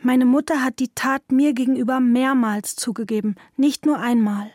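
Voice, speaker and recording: A woman's voice: high-pitched, fairly even intonation, moderate pace, darkish tone, no echo, clean studio sound. A woman playing a resolute young daughter giving formal testimony in a radio documentary. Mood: firm, formal.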